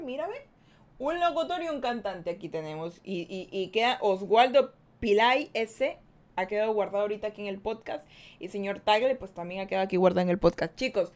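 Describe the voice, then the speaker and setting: A woman hosting a live-streamed podcast, chatty and playful as she talks straight to her viewers. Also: mid-range voice. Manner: playful, chatty, reads viewer comments aloud. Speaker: podcast host.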